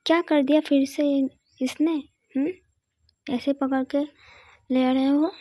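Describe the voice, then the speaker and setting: A woman voicing a calm, teasing middle-aged mother-in-law in a story. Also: high-pitched, measured pace. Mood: questioning.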